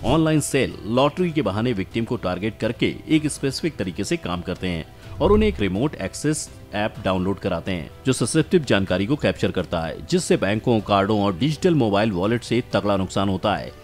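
A man with a fairly low voice narrates a scripted explainer. His delivery is emphatic and lively, with pitch that moves a lot, and he addresses the listener directly. Faint music plays behind him. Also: a little fast. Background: background music.

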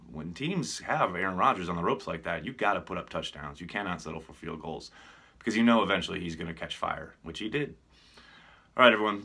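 A man's voice: low voice, sharp tone, husky. A middle-aged American man chatting casually about sports to camera as a reporter hosting a segment. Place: small furnished room at home, indoors.

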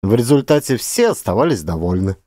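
A man's voice: fairly low pitch, very animated, a little fast, mellow, no room sound, studio quality. A man giving a dramatic, scripted narration of literary fiction as an actor.

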